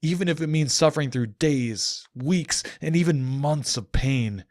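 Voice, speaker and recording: A man's voice: on the high side, a little slow, darkish tone, no room sound, studio quality, pitched above the performer's own voice, natural voice. A man acting out a roaring, tormented immortal man. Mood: roaring anguish. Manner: roaring, anguished, confrontational. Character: tormented, bitter immortal man, raging and grief-stricken. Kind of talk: dramatic audiobook character acting.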